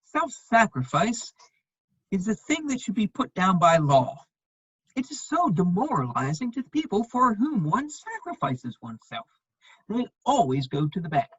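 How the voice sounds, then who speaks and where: A woman playing a witty, aloof upper-class gentleman in a dramatic reading of a period comedy, husky-voiced, with a dry, measured, cutting delivery. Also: mid-range voice, very animated, no room sound, dull audio.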